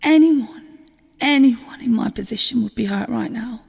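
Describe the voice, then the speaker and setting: A woman's voice: a little high, moderate pace, creaky, a little mellow, slightly rough. A woman playing a wounded, pleading and defensive girlfriend clinging to her relationship in an audio drama. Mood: desperate, hurt. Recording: long reverberant hall sound.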